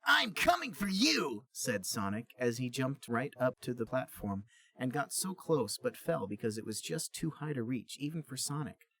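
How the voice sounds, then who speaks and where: A man's voice: medium pitch, normal speed, husky, no room sound. A man co-hosting a podcast, reading a story aloud as narrator and chatting casually between passages.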